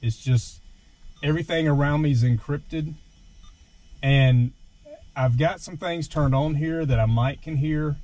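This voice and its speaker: A man's voice: fairly high pitch, a little slow, long pauses, darkish tone, no echo. A man talks casually and without a script inside a parked truck, explaining his gear step by step in a plain-spoken way. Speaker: vlogger demonstrating his gear. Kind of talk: casual unscripted product walkthrough.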